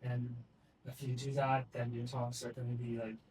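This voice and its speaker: A man's voice: mid-range voice, moderate pace. A man giving feedback on a talk in a hesitant way, with many fillers. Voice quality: flat, hesitant delivery with fillers. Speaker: group participant giving feedback. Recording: distant mic with faint room noise.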